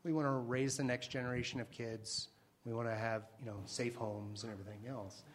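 A man on a discussion panel answers in a measured, reflective way. His pitch sits in the middle range, and a faint hiss lies behind his voice. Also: steady tone.

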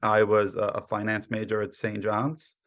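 A man's voice: fairly low pitch, monotone, normal speed, a bit bright. An American man in his thirties answering interview questions conversationally, in a modest, explanatory way. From Brooklyn, New York, born in Poland. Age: 30s. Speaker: podcast guest, cyber insurance professional.

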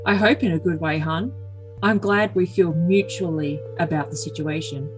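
Woman doing a low, doting young boyfriend. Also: normal speed, lightly acted, poor audio. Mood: affectionate. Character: earnest, besotted young man, possessive doting boyfriend. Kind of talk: overly dramatic comic reading of text messages. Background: music.

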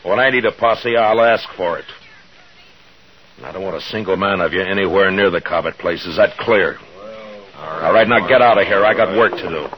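Very deep, grave frontier marshal played by a man. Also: normal speed, muffled recording, close to an everyday voice. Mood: commanding. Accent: American. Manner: terse, plain-spoken, authoritative. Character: grave, steady frontier marshal in the Old West. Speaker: lead actor and narrator. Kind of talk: 1950s radio western acting.

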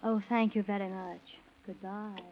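Actress as nursery-rhyme shepherd girl, mid-pitched, singsong, vintage children's radio fantasy, thin narrow-band recording. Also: level tone, normal speed, background noise, natural voice. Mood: prim, forlorn. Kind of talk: 1930s children's radio serial acting.

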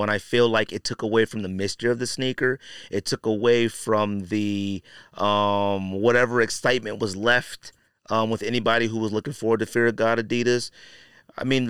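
Male podcast host, smooth clean voice, dry close sound, mid pitch, slangy casual opinions, emphatic repetition. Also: a bit bright, no room sound. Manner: emphatic, repeats phrases for stress; colloquial, slangy phrasing. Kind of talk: casual opinion commentary on sneakers.

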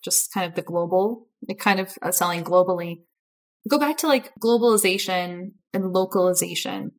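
Casual woman host asking questions. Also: medium pitch. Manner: informal, heavy on "like" and restarts. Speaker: podcast host.

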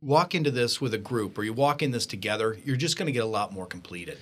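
A man hosting a financial radio show, talking in an energetic, emphatic way. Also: mid-range voice, fast talker. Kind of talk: upbeat financial radio talk.

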